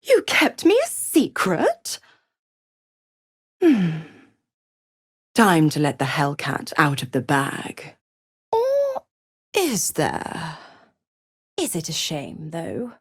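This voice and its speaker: A woman's voice: on the low side, breathy edge, no echo, clean studio sound, full character voice. A woman playing a seductive, mocking devil villain in a video game, theatrical and taunting, with a cruel possessive streak. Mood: gleeful mockery. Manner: sultry, taunting, theatrical, drops into whispers. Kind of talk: dramatic video game voice acting.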